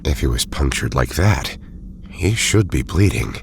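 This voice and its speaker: A male actor voices a courteous, careful doctor with a rumbling, extremely deep voice. He speaks politely and precisely, a little hesitant, in an audio drama with background noise. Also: animated, unhurried, gravelly, heavily acted. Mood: concerned.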